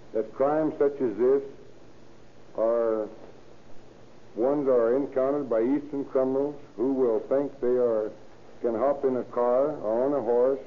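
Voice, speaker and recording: An American man giving a scripted radio address as a guest lawman, reading stiffly and stumbling over words. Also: medium pitch. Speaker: county sheriff, guest speaker. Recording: tinny, hissy 1930s radio recording.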